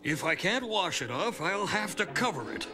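An actor voicing a weary, easily flustered middle-aged dad in a children's cartoon. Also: male voice, sits high, sing-song, normal speed. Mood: resigned. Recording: music behind the voice.

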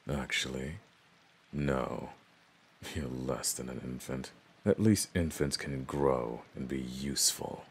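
A man's voice: extremely low pitch, slow pace, slightly rough, exaggerated voice. A man playing an arrogant, domineering orc who would be chief, speaking with pompous menace in a roleplay audio drama. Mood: contemptuous.

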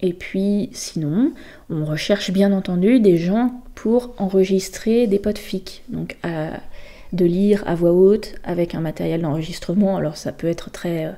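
A woman guest explaining things casually in an interview, with hesitant, halting phrasing. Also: fairly low pitch, warm tone. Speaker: guest presenting a volunteer project.

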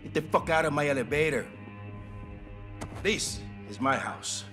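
A man's voice: fairly high pitch, music in the background, natural voice. A man playing a menacing, boastful Mexican cartel enforcer in a game, threatening in accented English and Spanish. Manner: slow, threatening, swings between quiet and loud. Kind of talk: video game villain acting.

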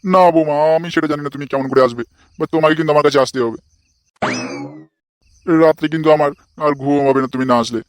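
A man's voice: on the high side, quick pace, long pauses, no room sound, lightly acted. A man voicing a crude, bossy, lecherous old father-in-law in a comic cartoon, foul-mouthed and blustering. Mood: pleading, lecherous.

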